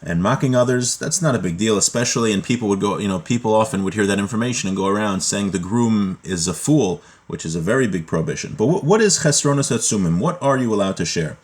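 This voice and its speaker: A man with a fairly low voice teaches a religious text class. He reads passages aloud and then explains them in plain, conversational words, in the informal style of a study lesson. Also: animated, quick pace, hardly any pauses. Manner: explanatory, moving between reading a text aloud and plain paraphrase.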